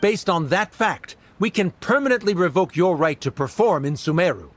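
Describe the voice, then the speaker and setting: An actor playing a haughty, coldly rational scholar in a video game, speaking formally and condescendingly. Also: male voice, high-pitched, expressive, normal speed, a little hiss or hum. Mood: stern, official. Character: haughty male scholar accuser, cold and coolly rational. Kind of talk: scripted video game dialogue.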